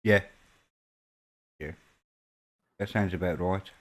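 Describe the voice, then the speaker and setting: A man with a Birmingham accent chats casually on his live stream. His voice sits a little low, and he stumbles and repeats words as he talks. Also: fairly even intonation, slow. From England. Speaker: stream host.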